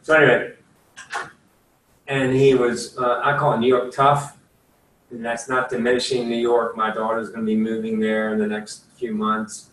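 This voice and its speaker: An older man chatting in a folksy, rambling way, full of asides and fillers. His voice sits at an ordinary pitch and sounds far off in an echoey room, with a faint hiss underneath. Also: little pitch movement, moderate pace, a bit bright. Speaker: attorney. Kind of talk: casual talk at a career session. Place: room at a career services office.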